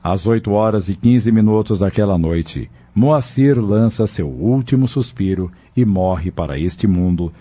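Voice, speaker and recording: A man's voice: fairly low pitch, animated, a little slow, hardly any pauses, dark tone. A man reading scripted radio drama narration in a formal announcer style. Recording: dull lo-fi radio, music behind.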